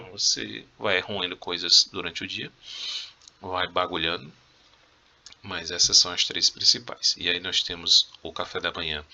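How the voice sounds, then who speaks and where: A man's voice: deep voice, creaky, bright, forceful tone. A man with a Brazilian Portuguese accent, a Spanish teacher giving a casual, joking review lesson to his students and coughing now and then.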